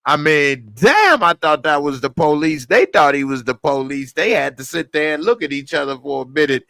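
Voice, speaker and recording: A man's voice: on the high side, expressive, normal speed, sharp tone, no room sound, clean studio sound. A man giving a casual spoken review of a TV episode, blunt and slangy, sometimes breaking into heated rants. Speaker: TV series reviewer.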